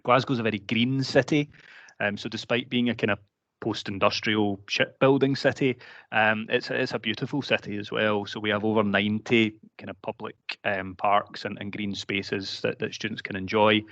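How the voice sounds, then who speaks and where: A man with a Glaswegian accent presents his university over a video call, stopping often for ums and restarting his phrases. His voice sits fairly low, and the sound is dry and a bit dull. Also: steady tone, moderate pace, fairly bright tone, no echo. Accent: Glaswegian Scottish. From Scotland. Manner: frequent ums and word repeats, conversational. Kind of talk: online presentation to counsellors.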